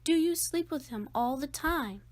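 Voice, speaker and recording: A woman's voice: high voice, lively intonation, measured pace, voice pushed up. A woman voicing a curious, persistent young child in a storybook read-aloud. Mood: curious, insistent.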